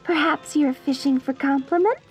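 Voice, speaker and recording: Woman playing a high-voiced, lovestruck deranged mortician bride. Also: moderate pace, faint music behind, close to an everyday voice. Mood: lovestruck, dreamy. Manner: theatrical, cooing, genteel and gushing with endearments. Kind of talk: dark comedy audio roleplay, addressed to the listener.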